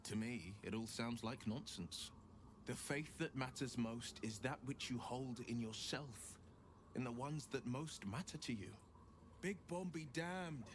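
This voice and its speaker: A man playing a loyal, earnest, gallant hero with measured speech in a video game. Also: medium pitch, a bit bright. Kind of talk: video game character acting. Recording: clear ambient noise behind the voice.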